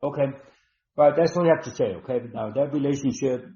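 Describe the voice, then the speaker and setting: A man hosting a live online healing session, talking slowly and instructively, repeating words with many ums. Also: mid-range voice, dark tone. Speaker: webinar host and healer. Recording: dull roomy online call audio.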